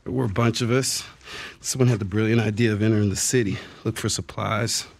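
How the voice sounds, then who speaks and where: A man's voice: lowish voice, fairly even intonation, brisk, creaky. A man playing a wary but friendly young protective brother in a video game, casual and streetwise. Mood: grim, frustrated. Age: young adult. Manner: casual, streetwise, salty-tongued. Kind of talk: naturalistic video game cutscene acting.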